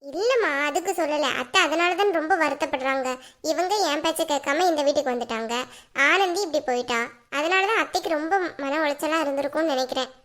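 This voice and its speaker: A woman's voice: high voice, quick pace, fairly bright tone. A woman playing an exasperated young mother in a family drama, talking in a blunt, colloquial, scolding way. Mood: aggrieved, complaining. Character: exasperated young mother, scolding and threatening her little daughter.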